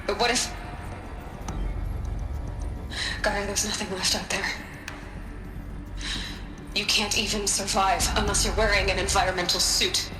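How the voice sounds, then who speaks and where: A woman's voice: medium pitch, level tone, normal speed, long pauses, lightly acted. A woman acting a caring, resolute lead scientist in a video game, firm and thoughtful. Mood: troubled. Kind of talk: naturalistic video game drama. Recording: echoey room with music underneath.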